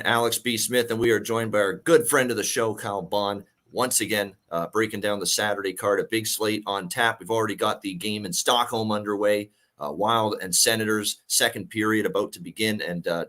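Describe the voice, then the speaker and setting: Gravelly, low-voiced male sports host. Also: a bit bright. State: voice worn from a night of singing. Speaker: host of a sports betting show. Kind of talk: casual sports talk.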